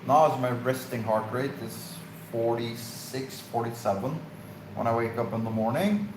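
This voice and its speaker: A man answering viewer questions in a relaxed, halting way, pausing and restarting with fillers as he finds his words. His voice rings in an echoey room over a faint hiss. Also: medium pitch. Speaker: live stream co-host. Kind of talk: casual Q&A chat.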